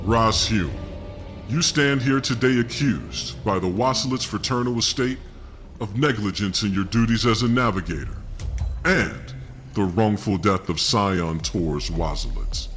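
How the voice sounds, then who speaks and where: Casting: stern judge, lowish voice, formal and deliberate, sci-fi audio drama, faint music. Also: male voice, a bit bright.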